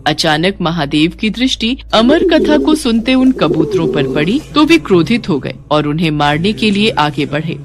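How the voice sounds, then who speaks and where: A woman's voice: lowish voice, sing-song, normal speed, few pauses. A woman narrating a scripted devotional tale as a storyteller. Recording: faint music bed.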